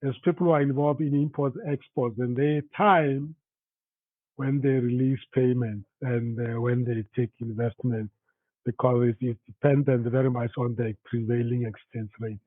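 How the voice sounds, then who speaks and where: Rough-voiced man on a phone-like line. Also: medium pitch, fairly even intonation, no room sound. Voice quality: slightly rough voice from recent flu. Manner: halting, repeats words. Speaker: instructor leading an online training session. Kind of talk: informal lecture with questions to participants. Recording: thin phone-like call audio.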